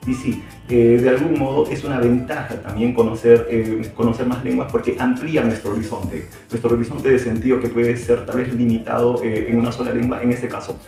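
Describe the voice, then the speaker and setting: A man's voice: medium pitch, steady tone, brisk, vocal fry, few pauses, dark tone. A Peruvian man who teaches, answering interview questions in a reflective way, hesitating often with fillers and restarts. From Peru. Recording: very echoey room, far from mic, music behind.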